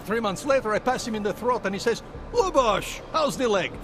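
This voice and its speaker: A man's voice: sits high, lively intonation, fairly quick, background noise. An actor playing an earnest, loyal augmented man who guards a rebel group's door in a video game cutscene. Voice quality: earnest, admiring, reflective.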